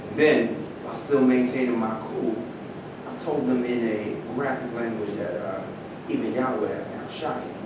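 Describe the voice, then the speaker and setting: A man's voice: steady tone, moderate pace, close to an everyday voice. A man acting in a staged play reading as an aggrieved, cynical famous former athlete, speaking conversationally. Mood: indignant. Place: live staged reading in a roomy indoor space. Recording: echoey room from far off, rumbling noise.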